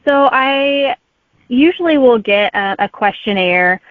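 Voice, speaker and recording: A woman author answers interview questions in a relaxed way with plenty of "um"s. Her voice comes through a thin, phone-like line with a dry sound. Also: fairly high pitch, slow, no room sound. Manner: easygoing, with filler "um"s. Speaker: author guest. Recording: tinny phone-like remote line.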